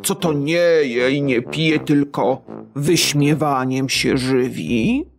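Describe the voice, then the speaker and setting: A woman voicing a kindly old man in a storybook who gently gives a girl advice. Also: rumbling low, pitched below the performer's own voice, heavily acted. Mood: gentle advice. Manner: gentle, unhurried, advising.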